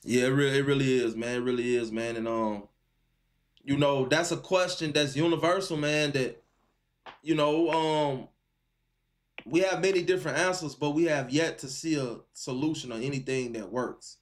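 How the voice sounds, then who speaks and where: A man's voice: mid-range voice, moderate pace, a little hiss or hum. A man hosting a live call-in stream, chatting casually and encouragingly in slangy talk, repeating phrases for emphasis. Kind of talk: casual chat with a caller.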